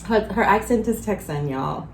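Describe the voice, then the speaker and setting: A woman hosting a casual chat, lively and joking, with slangy asides. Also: medium pitch, moderate pace, gravelly. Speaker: host. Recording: echoey room, faint ambient noise.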